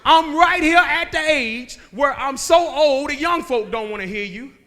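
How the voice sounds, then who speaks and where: A man, preaching a sermon that moves between joking talk and shouted calls to the men. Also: extremely high pitch, expressive, unhurried. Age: 30s. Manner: conversational preaching that builds to shouting, with laughs and rhetorical questions. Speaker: guest preacher. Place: church sanctuary.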